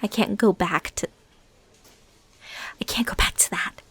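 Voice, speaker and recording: A woman playing a lovesick, bitter ex-stalker fighting her obsession, in an emotional, halting audio roleplay monologue. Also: mid-range voice, slightly rough, heavily acted. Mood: subdued, pained. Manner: halting, emotional, swinging between hushed whispers and outbursts.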